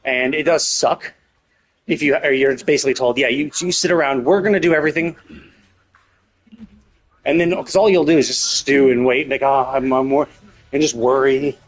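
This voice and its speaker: A man's voice: mid-range voice, fairly even intonation, moderate pace, long pauses, soft background music. A man livestreaming casual commentary on a game's story, thinking aloud in a rambling, opinionated way. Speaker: live streamer.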